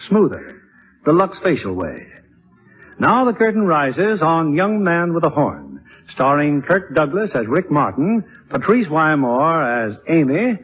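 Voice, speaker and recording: A man announcing from a script in a polished, showy 1950s radio style. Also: a little high. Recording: tinny, narrow-band 1950s radio recording.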